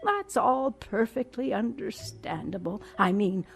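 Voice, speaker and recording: An actress playing a genteel, condescending old woman recovering from a stroke in an audio drama, with breathy, halting, deliberate speech. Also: mid-range voice, lively intonation, soft background music. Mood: quietly menacing.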